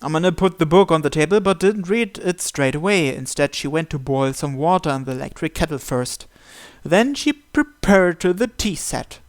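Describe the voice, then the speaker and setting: A man's voice: on the high side, lively intonation, moderate pace, dry recording. A man reading a visual novel aloud as story narration. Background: game music.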